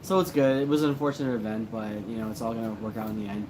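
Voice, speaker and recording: A man hosting a casual livestream, relaxed and joking as he reads comments after a few drinks. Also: lowish voice, racing, background noise. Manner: relaxed, joking, a little tipsy. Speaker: host of a paranormal channel livestream.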